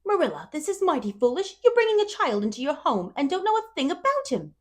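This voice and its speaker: Storybook role: woman as a meddling neighbor lady, high and swooping, scolding and emphatic. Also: huge pitch swings, fast. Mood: scandalized. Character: nosy, disapproving, outspoken neighbor woman.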